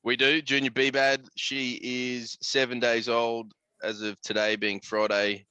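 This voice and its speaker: A man's voice: mid-range voice, level tone, unhurried, bright, forceful tone, smooth, no room sound. An Australian man chatting casually as a podcast host, blokey and sweary, full of slang.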